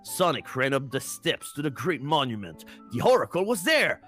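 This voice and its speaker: A man's voice: medium pitch, sing-song, moderate pace, fairly bright tone, faint music behind. A man streaming a fan fiction reading in a dramatic, comic narration style.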